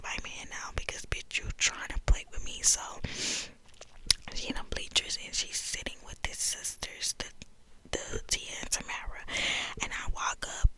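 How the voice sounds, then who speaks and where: A young woman whispering a story in a profane, animated, gossiping way. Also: low-quality recording.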